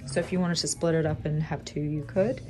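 A woman's voice: sits low, fairly even intonation, fairly quick, mellow. A woman in her thirties, a plant vlogger, gushing with enthusiasm as she narrates a walk through an indoor plant shop. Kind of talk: casual shop-along narration. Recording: background music under voice.

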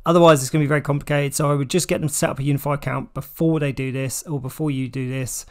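Higher-voiced English man explaining tech. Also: fairly even intonation, racing, darkish tone. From United Kingdom. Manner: plain-spoken, step-by-step explaining. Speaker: tech tutorial presenter. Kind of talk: instructional walkthrough, informal explanation.

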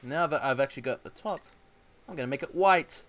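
A young man hosting a gaming channel, chatting casually while playing a co-op game, playful with loud bursts of laughter. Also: on the high side, fairly quick. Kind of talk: casual co-op gameplay chat.

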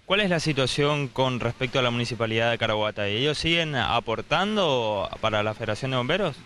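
A male reporter fires off brisk, direct questions in a very fast, bright and forceful voice. Faint outdoor noise surrounds him in the field recording. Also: mid-range voice, lively intonation. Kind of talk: interview questions.

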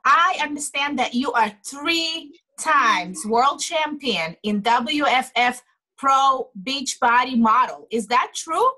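A woman's voice: high-pitched, expressive, slow pace, sharp tone, poor audio. A woman hosting a video call interview, chatty and enthusiastic as she asks questions. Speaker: host. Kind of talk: casual interview. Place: indoor home room. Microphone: video call webcam.

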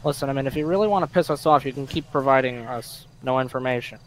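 A man's voice: mid-range voice, fast, slight background noise, poor audio. A blunt, sweary man playing a police officer in a casual online game role-play.

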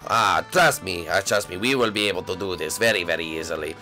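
A performer voicing a boastful male French turtle creature who fancies himself a ladies' man, with a low, slow, self-satisfied drawl. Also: pushed and bright, soft background music. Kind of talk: comedic puppet-style skit acting.

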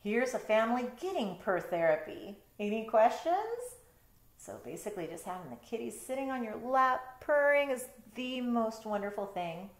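A middle-aged woman reads a children's book aloud in a roomy bedroom. Her delivery is expressive and animated in pitch, breaking off for casual explanations to young listeners. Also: moderate pace. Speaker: storytime reader, teacher. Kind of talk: picture-book read-aloud with casual asides. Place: bedroom, indoors.

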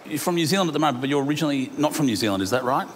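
A man's voice: fairly high pitch, expressive, very fast. A middle-aged man with an Australian accent hosting a TV talk show, teasing his guest in a jovial way and announcing in big, emphatic bursts. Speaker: TV show host. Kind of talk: studio talk show interview. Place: large TV studio with live audience. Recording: loud studio audience noise.

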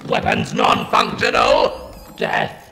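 A man's voice: takes it slowly, sharp tone, in a large hall, slight background noise. A man voicing a hostile alien battle machine, barking harsh staccato commands through a grating robotic filter. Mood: failing, alarmed. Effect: robotic ring-modulator filter.

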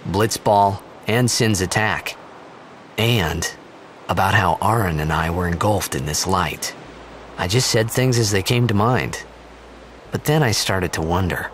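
A man playing a brash, bewildered teenage athlete in a video game, youthful and expressive, sometimes hesitant. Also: low voice, a little hiss or hum. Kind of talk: video game dialogue and narration.

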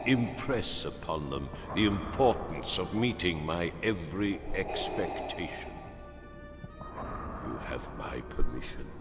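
A man's voice: rumbling low, heavily acted. A man playing an imperious, menacing old galactic emperor in a radio drama, condescending in manner. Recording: echoey hall-like reverb.

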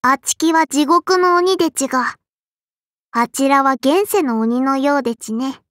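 A woman's voice: high-pitched, a little slow, fairly bright tone, no room sound, studio quality. A woman voicing a small, strict and prim sparrow-spirit girl in an anime game, with a childlike lisp and polite but scolding speech. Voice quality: childlike, lisping. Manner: polite but scolding, quirky verbal tic. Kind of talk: anime game character voice lines.

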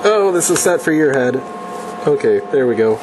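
A man's voice: a little high, lively intonation, moderate pace, background noise. A man in his thirties casually teaching his wife to weld in a home garage, offhand and self-deprecating. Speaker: channel host teaching welding. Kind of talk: casual instructional chat. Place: home garage workshop, indoors.

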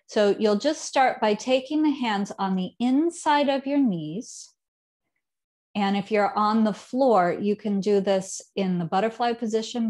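Calm woman guiding a yoga class. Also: mid-range voice. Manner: calm, unhurried cueing with soft trailing endings. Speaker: yoga teacher. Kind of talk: guided yoga instruction. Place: living room at home, seated on a chair.

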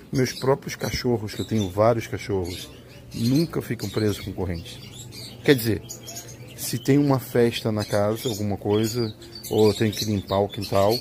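A man's voice: fairly low pitch, noisy background. A middle-aged Brazilian man vlogging casually on a handheld phone as he walks through a large indoor market. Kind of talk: casual walking tour narration. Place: large indoor swap meet. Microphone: handheld phone camera. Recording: busy indoor market crowd behind.

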